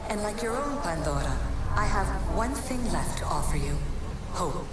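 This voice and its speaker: A woman's voice: mid-range voice, expressive, heavy background noise, lightly acted. Solemn echoing ancient goddess-like woman, acted. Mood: encouraging. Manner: earnest, measured, solemn delivery. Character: ancient female precursor speaking through a recorded message, solemn, compassionate. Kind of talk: dramatic video game voice acting. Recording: echoing, distant voice under loud music.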